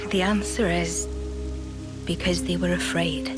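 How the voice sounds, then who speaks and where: A woman voicing a calm, wise young woman in a video game, explaining things in a measured way over game music. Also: lowish voice, lightly acted. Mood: solemn. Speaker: game character Nia. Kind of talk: video game cutscene dialogue. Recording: game cutscene with music behind.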